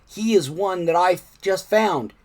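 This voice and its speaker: A man's voice: high-pitched, measured pace, voice pushed up. A man voicing a friendly male raccoon in a storybook read-aloud, in a plain helpful tone.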